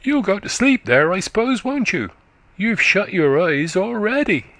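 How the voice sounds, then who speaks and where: A man's voice: sits high, sing-song, measured pace, fairly bright tone, dry recording, pitched above the performer's own voice, heavily acted. Man doing a piping little country boy. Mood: curious. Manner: childlike, piping, dialect speech. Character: small chattering country boy.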